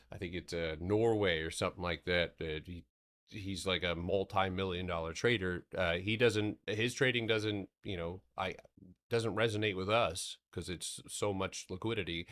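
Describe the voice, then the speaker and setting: A man with a very deep voice hosts a relaxed livestream recap of the market. His talk is loose and rambling, with casual swearing. He settles into a steady, explanatory tone when he walks through chart levels. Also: moderate pace. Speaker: stock trading streamer.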